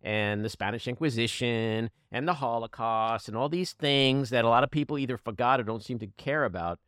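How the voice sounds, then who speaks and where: A man's voice: medium pitch, a little fast, a bit bright, clear, resonant tone, no room sound, clean studio sound. Blunt, passionate man in his sixties. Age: 60s. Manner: passionate, blunt, swears freely; self-mocking about his age. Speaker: podcast host. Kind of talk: casual political conversation.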